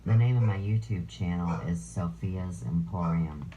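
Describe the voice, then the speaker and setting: A woman with a notably deep, low-pitched voice and a dark, mellow tone runs a live stream. She reads viewer comments aloud and answers them with sarcastic, crude jabs, in a casual chatty way. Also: measured pace, slight background noise, rough recording.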